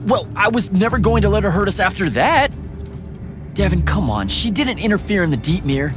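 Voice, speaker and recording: Wisecracking game hero, stammers, fairly high lively voice, orchestral score. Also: male voice, over music. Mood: defensive. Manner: stammering, defensive, quick wisecracks. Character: young male battlemage hero, wisecracking. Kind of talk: video game cutscene acting.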